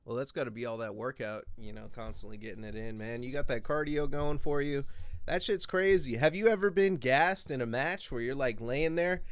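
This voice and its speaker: Profane, lively young man telling stories. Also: medium pitch, moderate pace, no room sound. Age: 20s. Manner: profane, animated storyteller. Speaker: podcast host. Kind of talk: casual storytelling.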